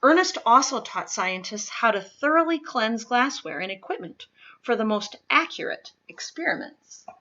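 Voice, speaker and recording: Bright, higher-pitched woman reading aloud. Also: expressive, normal speed, highs cut off. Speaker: read-aloud reader. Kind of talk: scripted children's nonfiction picture book read-aloud.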